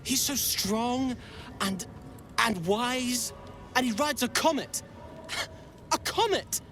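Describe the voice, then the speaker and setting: Earnest, timid young alien warrior trainee. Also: male voice, very high voice, animated, bright, forceful tone, slight background noise, exaggerated voice. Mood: awed, secretive. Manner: hesitant, earnest, halting. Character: earnest, timid young githyanki male trainee, dreamy idealist. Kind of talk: video game voice acting.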